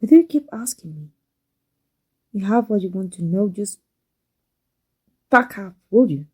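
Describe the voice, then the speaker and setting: A woman with a Mexican accent playing a proud, secretly devoted villainess in an intimate ASMR roleplay, halting and emotional. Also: medium pitch, animated, warm tone, slightly rough. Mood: angry, guarded. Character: proud, flustered, secretly devoted villainess with life-transfer power.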